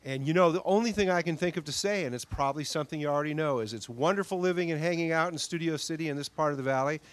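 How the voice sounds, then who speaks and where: Man, quick upbeat delivery, slightly high voice. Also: dry recording. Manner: upbeat community praise. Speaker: club member.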